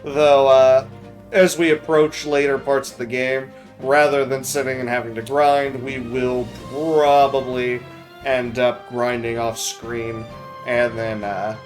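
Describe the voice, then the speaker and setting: A man's voice: medium pitch, flat delivery, slow pace. Joking male gamer over game music. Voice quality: speech impediment. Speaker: let's play host reading game dialogue aloud. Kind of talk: casual gaming commentary with co-host. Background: video game music.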